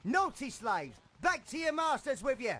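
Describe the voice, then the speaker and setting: An actor playing a taunting Roman-style soldier in a comic video game, scolding in a mocking way. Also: male voice, very high-pitched, sing-song, normal speed, bright, forceful tone, heavily acted. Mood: gloating. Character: gloating, taunting Roman-style legionary manning a catapult. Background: music.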